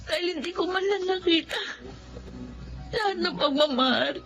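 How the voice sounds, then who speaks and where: A woman's voice: extremely high pitch, normal speed, music in the background. A woman playing a distraught, guilt-ridden grown child in a Filipino radio drama, with an emotional, pleading delivery. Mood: weeping, remorseful.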